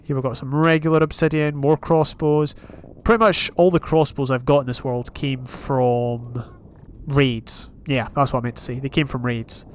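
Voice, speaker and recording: A man's voice: mid-range voice, steady tone, moderate pace, a little hiss or hum. A young Scottish man casually commentating his own game, thinking aloud and reading out achievements as he plays. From Scotland. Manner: chatty, thinking aloud, reading advancements while playing. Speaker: gaming YouTuber. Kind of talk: casual Minecraft let's play commentary.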